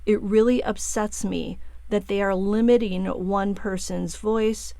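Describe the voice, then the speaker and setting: A woman presents true-crime news commentary in a mid-pitched voice with a close, dry sound. She reads from a script and quoted statements, breaking off to give her own opinionated asides straight to the listeners. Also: steady tone, measured pace, vocal fry, dark tone, no room sound. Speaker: true-crime channel host.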